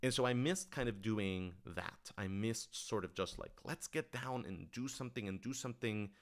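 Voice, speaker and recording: Low-pitched, smooth-voiced man chatting casually. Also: normal speed. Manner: rambling, thinking aloud, enthusiastic. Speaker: writer-director of audio dramas answering questions. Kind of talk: casual livestream Q&A talk.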